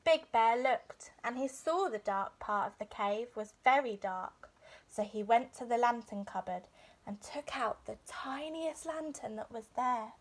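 A woman's voice: fairly high pitch, lively intonation, unhurried, poor audio. A young adult woman narrating a children's bedtime story expressively, like a teacher reading aloud indoors. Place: small furnished room indoors.

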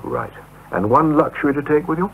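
A man hosting a radio interview in a brisk, polite manner, heard through a tinny off-air cassette recording. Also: on the high side, very animated, creaky, slight background noise.

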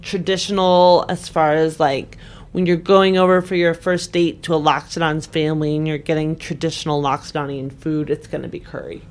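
A woman's voice: low voice, level tone, moderate pace. A woman talking casually as a podcast guest, informal and thinking aloud. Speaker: podcast guest and game player. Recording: background music under the voice.

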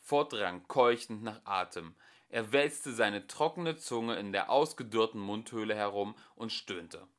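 A young man reading an audiobook aloud in an expressive way, recorded at home. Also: lowish voice. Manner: slow and expressive. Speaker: audiobook reader. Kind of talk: amateur audiobook narration.

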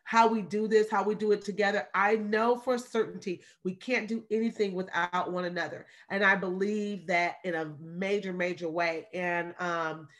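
A woman in her thirties hosting a video call, talking in an excited, effusive way and laughing often. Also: mid-range voice, normal speed, hardly any pauses. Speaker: host of a walking challenge celebration. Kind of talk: casual video call chat. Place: small room indoors. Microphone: video call webcam.

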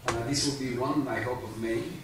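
A man in his fifties giving opening remarks at a conference, speaking haltingly with many fillers into a handheld microphone in a large hall. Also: medium pitch, fairly even intonation. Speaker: conference opener, funding agency official. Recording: echoey hall, picked up from a distance, audible room noise.

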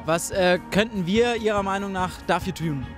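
A man's voice: high voice, normal speed, music in the background. A young man with a German accent, a show host asking passers-by questions on a city street with a handheld mic. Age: young adult. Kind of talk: street vox-pop interviewing. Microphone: handheld mic with large foam cover.

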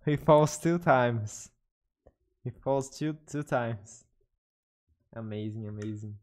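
A man's voice: medium pitch, slow pace, a little mellow. A young man from Brazil with a Brazilian Portuguese accent, a native Portuguese speaker, chatting casually with viewers on a live stream from his room, speaking English hesitantly and often correcting himself. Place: bedroom streaming setup indoors. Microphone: studio mic on desk with headphones.

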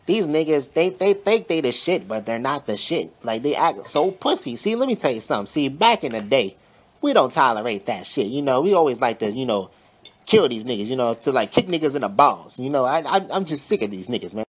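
A man voicing a grumpy elderly grandmother, rambling and halting as she disapproves, in a comic parody dub. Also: medium pitch, sing-song, slight background noise, close to an everyday voice. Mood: fed up.

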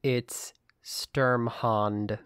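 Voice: mid-range voice, fairly even intonation, extremely slow, fairly bright tone. A podcast co-host chatting casually about books. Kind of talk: casual book discussion chat.

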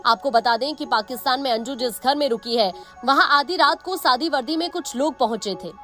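A woman's voice: high voice, fairly bright tone. A woman with an Indian accent reading a scripted news report as narrator. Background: background music.

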